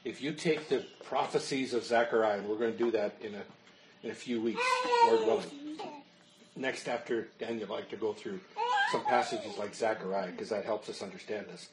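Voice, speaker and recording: A man's voice: fairly low pitch, wildly swooping pitch, normal speed, very noisy. A man, a pastor, teaching a Bible study in an earnest, explanatory way and answering questions while losing his voice.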